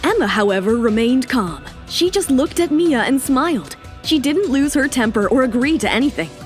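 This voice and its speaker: A woman reading scripted narration for an audio drama with smooth, even storytelling. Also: high-pitched, normal speed, faint music behind.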